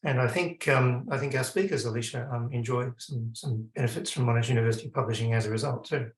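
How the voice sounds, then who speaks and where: A man, hosting an event and giving polite closing thanks, halting with many ums. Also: mid-range voice, echoey room, poor audio. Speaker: event host.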